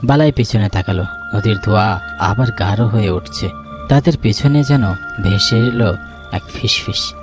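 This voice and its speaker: A man's voice: on the low side, moderate pace. A man narrating a scripted ghost story in a dramatic storytelling style. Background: background music.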